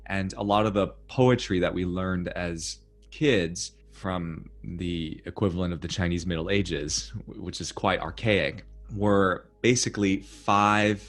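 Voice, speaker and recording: A man's voice: sits low, measured pace, few pauses, fairly bright tone, faint music behind. A man hosting a podcast interview, sharing reflective personal stories in a conversational way. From United States. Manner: reflective, storytelling. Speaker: podcast host.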